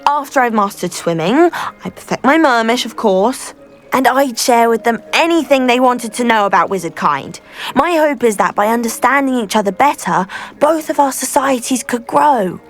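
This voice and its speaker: A woman's voice: a little high, lively intonation, moderate pace, soft background music, natural voice. A woman playing an eager, idealistic, effusive teenage schoolgirl witch with a British accent in a video game. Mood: passionate. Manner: effusive, earnest, well-spoken. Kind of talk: video game dialogue acting.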